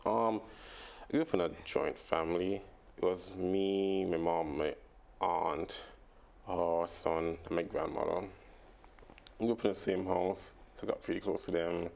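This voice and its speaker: Brief: young man, very low and gravelly voice, halting and laughing as a radio guest. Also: fairly even intonation, darkish tone. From British Virgin Islands. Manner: halting, laughs often, many fillers. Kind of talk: casual radio interview. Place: radio studio.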